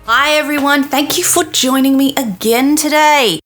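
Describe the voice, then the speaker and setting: A woman's voice: high voice, normal speed, a bit bright, faint music behind. A middle-aged woman talks through her solo podcast as a teacher would, friendly and explaining step by step. She often asks rhetorical questions. Her voice sounds a little bunged up from a cold. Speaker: podcast host, teacher and lecturer. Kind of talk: solo educational podcast talk.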